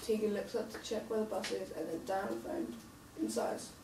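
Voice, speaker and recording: A woman reads out stage directions as a script narrator. Her voice is medium in pitch, heard away from the mic in a reverberant room with noticeable noise. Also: moderate pace. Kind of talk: scripted narration at a table read. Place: table read in a room. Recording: distant, noisy, echoey room recording.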